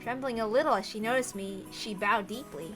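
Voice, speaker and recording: A woman's voice: on the high side, fairly quick, a bit bright, soft background music, rough recording. A woman reading a visual novel aloud expressively, with asides to her audience.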